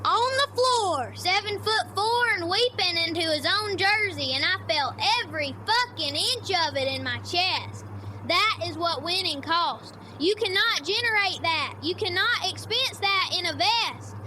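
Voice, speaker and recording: A woman's voice: very high voice, lively intonation, measured pace, pushed and bright, slight background noise. A woman sports correspondent giving dramatic, opinionated commentary with hyperbolic delivery.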